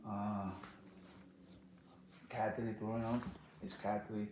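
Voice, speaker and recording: A man from the Dominican Republic with a Dominican accent, answering interview questions in halting casual speech full of "like" and "you know". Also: on the low side, little pitch movement, echoey room, noisy background. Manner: halting, repeats words, many "like" and "you know".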